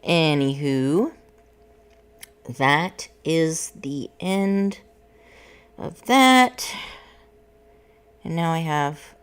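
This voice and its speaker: A woman, a voice student, chatting casually and explaining her practice step by step between vocal exercises. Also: low-pitched.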